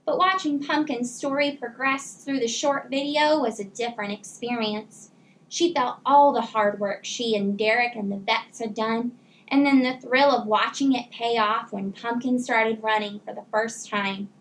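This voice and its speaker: Female storyteller, high voice, teacher-style expressive read-aloud for kids, slightly noisy recording. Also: a little slow. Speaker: teacher reading a children's book aloud.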